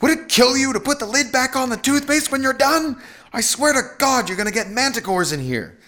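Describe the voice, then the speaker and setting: Man voicing a scornful, high-pitched young wife. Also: sing-song, brisk. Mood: fuming. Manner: scornful, shouting over noise. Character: scornful, exasperated young wife, nagging and shrieking.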